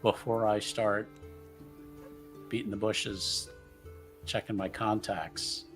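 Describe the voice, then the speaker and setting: A man's voice: fairly low pitch, steady tone, takes it slowly, a bit bright, soft background music. A man playing a tabletop roleplaying game, chatting casually and repeating himself as he improvises his plans.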